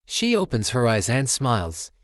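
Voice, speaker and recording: Man reading a children's story, lively intonation. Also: mid-range voice, moderate pace, dry recording, clean studio sound. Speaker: storytime channel host reading children's books. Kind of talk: read-aloud children's story narration.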